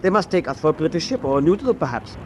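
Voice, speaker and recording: An actor playing a deferential German first officer in a wartime radio drama, reporting formally and respectfully. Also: male voice, on the high side, very animated, quick pace, darkish tone, slight background noise. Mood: alarmed.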